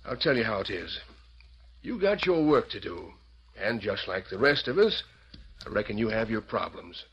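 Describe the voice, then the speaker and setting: An American actor playing an earnest, resolute old settler leader with folksy, measured speech in a radio Western. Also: male voice, fairly low pitch, sing-song, fairly bright tone, breathy edge, exaggerated voice. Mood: earnest, reasoning. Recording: muffled vintage radio recording.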